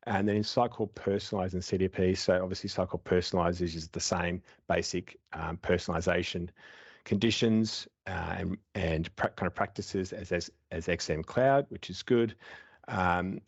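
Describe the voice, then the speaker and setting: A man's voice: low-pitched, breathy edge, no echo. A man in his thirties giving a webinar talk over a headset from a home office, explaining steadily with frequent filler words. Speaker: webinar presenter. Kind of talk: presenting slides. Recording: dull dry video call audio.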